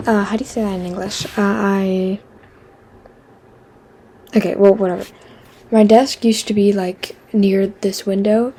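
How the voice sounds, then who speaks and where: Chatty teenage girl from Quebec, soft husky voice. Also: medium pitch. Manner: chatty, self-deprecating, many fillers and restarts; drops into a whisper for asides. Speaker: vlogger. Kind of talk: rambling casual chat.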